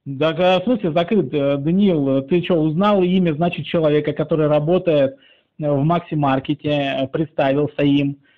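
A man's voice: on the high side, fairly even intonation, normal speed. A man in casual mocking phone chat, teasing and drawling as he strings along a caller. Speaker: channel author pranking a phone scammer. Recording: muffled lo-fi call recording.

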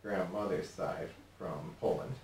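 A young man, a guest on a TV interview, answering in a relaxed, halting way with many fillers. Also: deep voice. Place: TV studio set. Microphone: desk mic from a distance. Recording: roomy studio sound, mic at a distance, faint hiss.

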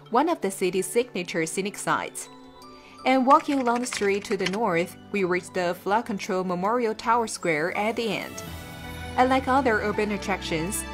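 A young woman narrating a travel vlog in a cheerful, playful scripted style. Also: medium pitch.

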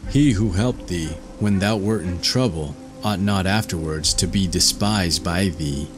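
A stern fairy-tale king, read by a man speaks in a deep, slow voice. He is commanding and principled. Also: over music.

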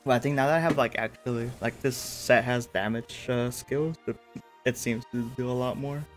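A man's voice: medium pitch, fairly even intonation, moderate pace. A man in his thirties from California, chatting casually while streaming a game, laughing often. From California, USA. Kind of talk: casual gameplay commentary. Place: home room. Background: faint game music.

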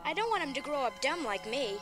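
A woman's voice: sits high, big pitch swings, brisk, music in the background. A woman playing a troubled, earnest, self-doubting adolescent girl in an audio drama. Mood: worried.

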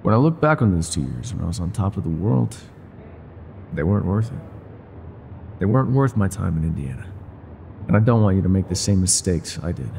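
A man playing a world-weary, gruff but secretly tender older ex-mafia bartender in an intimate, confiding audio roleplay. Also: low-pitched, very animated, brisk, long pauses, warm tone, slight background noise, heavily acted. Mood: wistful, confiding. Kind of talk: intimate ASMR roleplay monologue.

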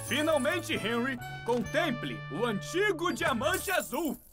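A woman voicing a bossy, excitable living jackal statue in a children's cartoon. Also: high voice, takes it slowly, full character voice. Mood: pleased. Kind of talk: cartoon dub acting. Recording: music behind the voice.

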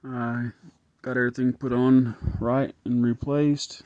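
A man's voice: medium pitch, flat delivery, a little slow, a little mellow, no echo, muffled recording. A man thinking aloud as he tests an engine at his garage workbench, casual and a bit frustrated. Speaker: home mechanic vlogger. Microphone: phone camera held close.

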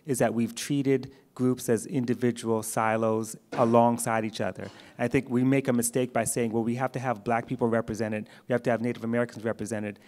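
A man's voice: mid-range voice, little pitch movement, fairly quick, hardly any pauses, darkish tone. A middle-aged man responding as a panelist, explanatory and emphatic, into a handheld microphone. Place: theatre stage. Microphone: handheld microphone held close.

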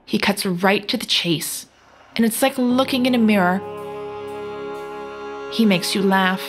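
A woman's voice: medium pitch, natural voice. A woman playing a cold, accusing apparition of a dead soldier in an audio drama, speaking with relentless deliberation. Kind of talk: scripted audio drama acting. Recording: music under the voice.

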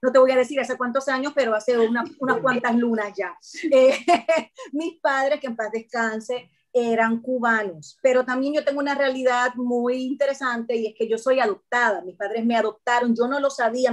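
A middle-aged woman, an actress chatting on a video call as an interview guest, expressive and laughing often. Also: fairly high pitch, normal speed. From Puerto Rico. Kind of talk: casual interview chat.

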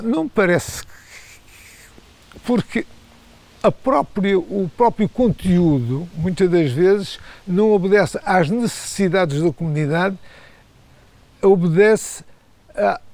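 A man's voice: high voice, slow pace, pauses often, darkish tone. An older man, answering interview questions hesitantly, with frequent restarts and repeated words.

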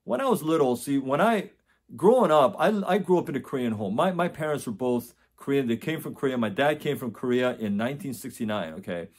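A man's voice: mid-range voice, expressive, a little fast, husky. A man in his forties from the United States, giving earnest advice straight to camera from a home office, often restarting phrases and checking in with "okay?". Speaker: test prep tutor. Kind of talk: direct-to-camera advice talk. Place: home office with framed certificates.

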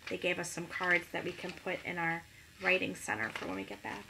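A middle-aged woman reading a picture book expressively to young children, pausing to ask them questions. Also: fairly low pitch, completely flat delivery, creaky, noisy background. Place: small living room at home, indoors.

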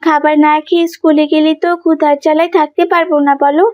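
Woman voicing very high-pitched young cartoon girl. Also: level tone, quick pace, dry recording, muffled recording, lightly acted. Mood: coaxing. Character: young schoolgirl daughters in a moral family cartoon. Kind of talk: dramatic cartoon voice acting.

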